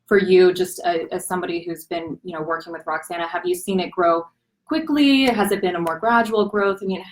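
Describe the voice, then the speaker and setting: A woman's voice: on the low side, a little fast, rough recording. A young woman interviewing a guest for a live online series, asking long, winding questions and affirming often. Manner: friendly, frequent affirmations, long multi-part questions. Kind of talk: casual live-stream interview.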